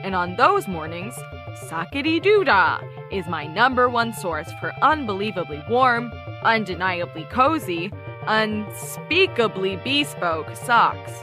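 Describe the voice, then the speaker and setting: A woman playing an earnest, prim investigative podcast host, narrating and interviewing in a measured, composed way. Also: medium pitch, sing-song, over music. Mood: scripted ad cheer. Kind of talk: scripted true-crime parody narration.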